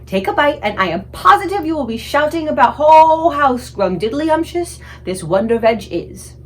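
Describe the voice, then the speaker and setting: A woman's voice: sits high, big pitch swings, normal speed, slight background noise, voice pushed up. Woman voicing a kindly, earnest, muddle-worded giant. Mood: eager coaxing. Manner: earnest, garbled childlike grammar. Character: kindly, timid-but-plucky male giant with muddled speech. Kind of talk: children's storybook read-aloud.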